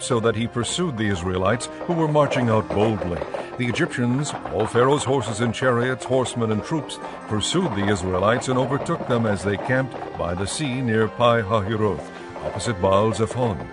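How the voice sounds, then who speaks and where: A man narrating dramatized scripture in a measured, formal way. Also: medium pitch. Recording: dramatic music behind voice.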